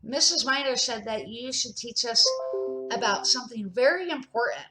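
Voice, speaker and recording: A woman's voice: on the high side, animated, moderate pace, loud music behind the voice, natural voice, poor audio. A woman reading the part of a clever, curious young boy in a children's storybook, eager and questioning.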